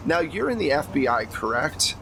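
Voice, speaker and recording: A man's voice: on the high side, big pitch swings, moderate pace, a bit bright, slight background noise, low-quality recording. An American man acting as game master, talking casually and conversationally as he narrates a tabletop role-playing game. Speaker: game master of an actual-play podcast.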